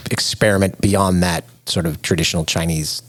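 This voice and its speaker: A man's voice: low-pitched, steady tone, normal speed, a bit bright. A man interviewing his co-hosts in a casual podcast chat, asking curious follow-up questions.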